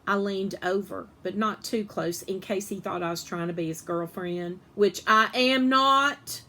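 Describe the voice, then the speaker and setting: A woman reading a children's story aloud in an animated, expressive way, with emphasis on the dialogue. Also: medium pitch, a little slow, a little hiss or hum.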